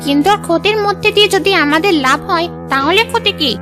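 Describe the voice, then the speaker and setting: A woman's voice: very high-pitched, fast talker, music in the background. A woman voicing a scheming, greedy older mother-in-law in a cartoon, with a persuasive, calculating manner. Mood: coaxing, calculating. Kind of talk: Bengali cartoon dialogue.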